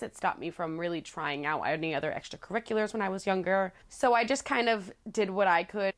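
A young woman in her twenties talks straight to the camera from a small, plant-filled living room. Her voice sits a little low for a woman, and she speaks in a candid, chatty, playful way, laughing easily as she tells her story. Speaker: vlogger. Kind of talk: casual talking-head storytelling.

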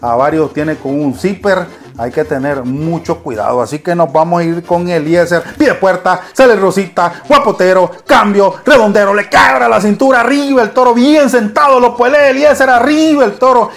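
A man with a Nicaraguan accent calling bull riding, with fast play-by-play that rises to shouts. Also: sits high. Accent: Nicaraguan Spanish. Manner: rapid-fire calls rising to shouts. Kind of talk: excited live sports commentary.

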